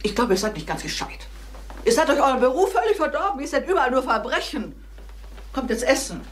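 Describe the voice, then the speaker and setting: A woman's voice: sits high, sing-song, fairly quick. An older actress playing a kindly, outspoken mother in a German crime radio drama, forthright and motherly. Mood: indignant. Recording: noisy old radio recording.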